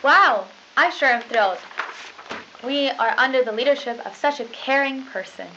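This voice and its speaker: A young woman playing a gushing, relentlessly upbeat high school news anchor with a chirpy, scripted delivery. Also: moderate pace, pushed and bright. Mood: forced cheer. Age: young adult. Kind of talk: scripted mock 1990s school news broadcast. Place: small studio news desk. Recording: dull, noisy lo-fi 1990s videotape.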